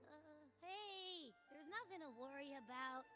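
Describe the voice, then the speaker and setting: A woman's voice: high voice, measured pace. A woman voicing a small, cocky, eager flying creature companion in a video game. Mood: tired, reassuring. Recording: game music loud behind voice.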